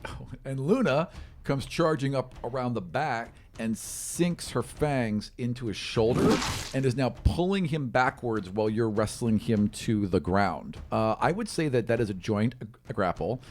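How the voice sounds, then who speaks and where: A man's voice: mid-range voice, expressive, unhurried, a little mellow, a little hiss or hum. A man acting as game master, improvising narration for a tabletop fantasy game in a conversational, encouraging way.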